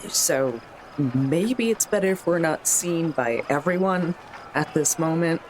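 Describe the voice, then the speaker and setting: A man's voice: on the high side, expressive, unhurried, poor audio. Rambling man improvising in a tabletop game. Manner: rambling, self-interrupting. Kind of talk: casual improvised roleplay narration. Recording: faint background noise.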